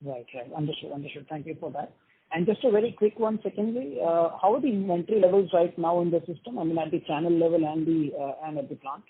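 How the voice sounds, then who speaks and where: A man's voice: a little high, fast talker, slightly rough. A man speaking as a financial analyst, asking polite questions and acknowledging answers with short repeated words. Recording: noisy, tinny phone line with clicks.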